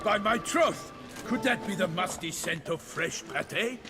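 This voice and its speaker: An actor playing a proud, earnest knight errant in a video game, speaking in a formal, flowery, theatrical way. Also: male voice, sits high, animated, measured pace. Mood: courtly, earnest. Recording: music behind.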